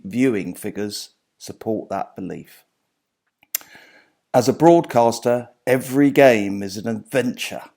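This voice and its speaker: Mature male author for a measured nonfiction reading; mid-pitched, noticeably creaky delivery. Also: a little mellow. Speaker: author and sports journalist reading own book. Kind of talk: scripted book reading.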